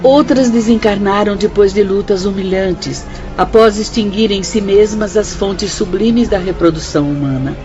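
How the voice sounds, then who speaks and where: An actress playing a stern, sorrowful spirit instructor who preaches formally in a radio drama style, with a Brazilian accent. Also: female voice, medium pitch, moderate pace, few pauses, dull audio, over music, lightly acted.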